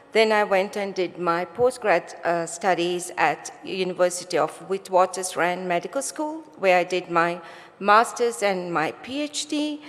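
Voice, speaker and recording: A middle-aged woman scientist presents to an audience in a big, reverberant hall. Her voice sits at a medium pitch, and she explains step by step, posing rhetorical questions. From Botswana, born in Sri Lanka. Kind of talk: conference talk to an audience. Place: conference stage in a large hall.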